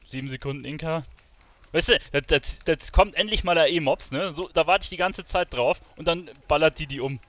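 A man's voice: fairly high pitch, brisk, a bit bright, dry recording. Casual male gamer, close and dry. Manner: informal, thinking aloud, with English gamer slang. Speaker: streamer. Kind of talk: casual live gaming commentary.

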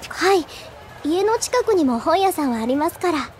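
A woman's voice: extremely high pitch, sing-song, moderate pace, a little mellow, slight background noise. A woman playing an earnest, deferential younger schoolgirl in an audio drama, polite, sweet and a little timid. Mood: puzzled, polite. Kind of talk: Japanese audio drama acting.

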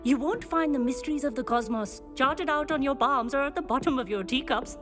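A woman's voice: high voice, fairly quick, natural voice. A middle-aged woman playing a stern, haughty astronomy professor in a video game, lecturing with theatrical precision. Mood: haughty, admonishing. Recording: clear music behind the voice.

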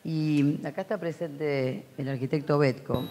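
A man's voice: a little high, steady tone, unhurried, warm tone, slight background noise. Argentine male panelist, reflective and anecdotal, handheld mic on a conference stage. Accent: Argentine Spanish. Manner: reflective, anecdotal, many fillers. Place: large conference hall stage.

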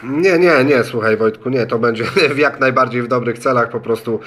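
A man's voice: mid-range voice, normal speed, fairly bright tone, slight background noise. A man in a radio club room, speaking in a friendly, slightly hesitant way as he talks on the radio. He spells out call signs. His voice is picked up by a phone lying in the room. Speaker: ham radio student operator. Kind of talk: amateur radio contact. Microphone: phone recording in the room.

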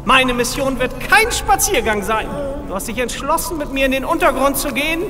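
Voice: sits high, animated, normal speed. An actor playing a vengeful, megalomaniac nerd supervillain in a comic radio play, ranting pompously and theatrically. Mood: scolding. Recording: long reverb, music behind.

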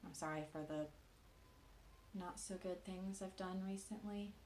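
An actress plays a young woman in a quiet contemporary drama, vulnerable and grieving. Her voice sits a little low and she speaks very slowly, with tentative pauses. She sounds earnest and unsure of herself. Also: fairly even intonation, slight background noise, lightly acted. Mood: earnest, sheepish. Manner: halting, tentative, with long pauses. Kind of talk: naturalistic contemporary dramatic monologue.

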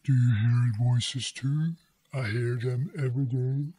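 A man voicing a lazy, sullen young woman with a manly voice, talking slowly and mockingly in a comedy movie recap. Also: mid-range voice, steady tone, mellow, breathy edge, no room sound, pitched below the performer's own voice, low-quality recording. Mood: dreamy.

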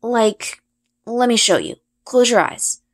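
Woman as young boy, storybook friend, easygoing, dry, casual, slightly hesitant, varied pitch. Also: lively intonation, slow pace. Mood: patient explaining.

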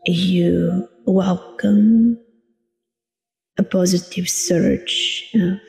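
A woman guiding a meditation in a soothing, deliberate manner, leaving long pauses between short phrases. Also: on the low side, steady tone, vocal fry, dark tone. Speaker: meditation guide, coach. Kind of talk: guided meditation narration. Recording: long reverb with music behind. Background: meditation music.

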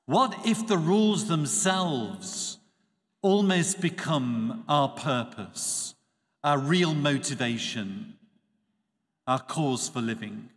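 A man, a priest giving a measured, expressive Sunday homily at a church lectern. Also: medium pitch. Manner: measured, expressive preaching with dropping phrase ends. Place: church sanctuary. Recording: reverberant church acoustics.

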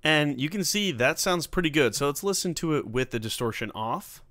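A man's voice: on the high side, lively intonation, normal speed, fairly bright tone, no room sound. Man giving a casual tutorial, dry sound. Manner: informal, talks through steps as he works. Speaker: tutorial host. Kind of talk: casual screen-recorded software tutorial.

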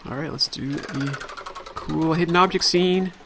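A man's voice: a little high, a little slow, gravelly, background noise. A man hosting a let's play, chatting casually and thinking aloud as he plays. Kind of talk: casual gameplay commentary.

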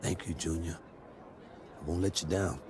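An actor playing a proud, loyal middle-aged sports agent with a deliberate, streetwise, earnest delivery in a video game. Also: male voice, low-pitched, fairly even intonation, a crowd in the background, natural voice. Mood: advising. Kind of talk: dramatic game cutscene dialogue.

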